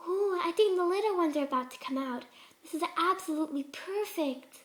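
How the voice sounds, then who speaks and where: Female reader as twisted pony surgeon for a horror story: very high, gentle, cooing, serenely mad. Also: lively intonation, fairly quick. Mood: delighted. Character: sweet, soft-spoken, twisted female pegasus pony surgeon.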